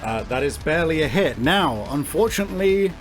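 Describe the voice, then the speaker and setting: A man acting as game master, improvising narration and rulings for a tabletop game in deliberate, hesitant phrases. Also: fairly high pitch, expressive. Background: faint music.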